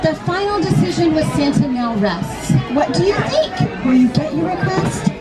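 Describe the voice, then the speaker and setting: A woman reading a storybook aloud to children in an expressive way, often putting questions to them. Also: very high voice, moderate pace. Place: live reading inside a large toy shop. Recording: busy echoey store, crowd and loud music.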